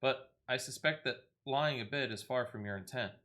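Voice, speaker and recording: A man's voice: medium pitch, normal speed, bright, forceful tone, close to an everyday voice. A man voicing a composed, courteous female leader in a fantasy video game, with formal phrasing. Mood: attentive, gentle.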